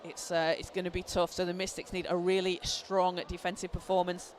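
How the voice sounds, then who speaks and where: A man, hoarse from a cold, giving excited live play-by-play basketball commentary in an indoor arena. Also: high-pitched, a little fast. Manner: excited, shouting calls on big plays. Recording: clear arena noise behind.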